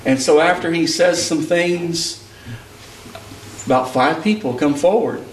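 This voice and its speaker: Male preacher from Texas, higher voice, emphatic sermon delivery, roomy echo, distant mic. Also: unhurried, a little hiss or hum. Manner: emphatic, rising preaching cadence. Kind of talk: sermon with personal storytelling. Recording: echoey hall picked up from a distance.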